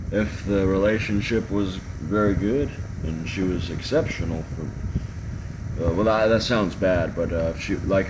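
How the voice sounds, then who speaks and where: Male stream host, very low voice, rambling jokey chat, audible background hum. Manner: rambling, joking, many ums and restarts. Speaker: livestream host reading chat. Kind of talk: casual live chat.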